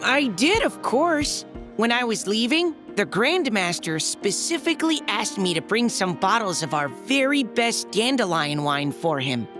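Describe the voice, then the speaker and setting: A woman's voice: medium pitch, big pitch swings, unhurried, over music. A woman voicing an earnest, slightly flustered young knight courier in a video game, polite and hesitant. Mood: flustered, eager, then chatty, earnest. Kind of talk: anime-style video game voice acting.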